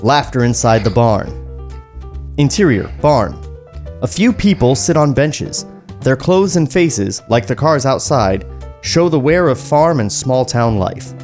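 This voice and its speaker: A man's voice: medium pitch, sing-song, a little slow, darkish tone, faint music behind. An American man co-hosting a podcast, chatting casually with some swearing and reading a script aloud.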